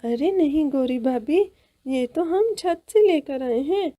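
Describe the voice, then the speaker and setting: A woman voices a timid, deferential bird in a cartoon. It speaks high and slowly, hesitant and apologetic. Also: expressive, mellow. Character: meek, apologetic bird flock member.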